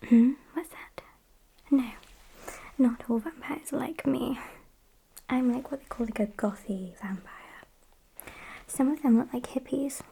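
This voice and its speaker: A British woman playing a sassy, morbid gothic vampire kidnapper in a whispered ASMR roleplay, soft, unhurried and deadpan. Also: fairly high pitch, a little hiss or hum. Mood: chatty, smug. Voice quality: breathy whisper.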